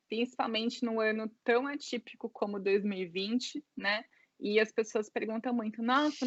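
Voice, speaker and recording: Chatty Brazilian woman, slightly high voice. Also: fairly bright tone, dry recording, highs cut off. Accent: Brazilian Portuguese. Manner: chatty, many fillers like "né" and "é". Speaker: interview guest. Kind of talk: casual interview storytelling.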